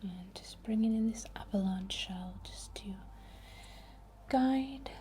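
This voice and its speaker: A woman softly whispering a guided ASMR healing ritual in a breathy, gentle and soothing manner, often repeating words. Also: on the high side, slow.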